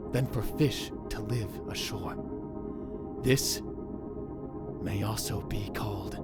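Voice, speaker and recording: A man voicing a dramatic young self-styled mad scientist, narrating in the first person in a hushed, grave, theatrical way. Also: fairly low pitch. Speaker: narrator and lead in fan dub. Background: music.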